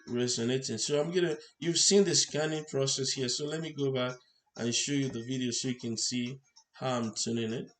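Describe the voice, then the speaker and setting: A man presenting an unscripted hands-on electronics demonstration, explaining step by step in halting short phrases. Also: mid-range voice. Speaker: tutorial presenter. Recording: clear background noise.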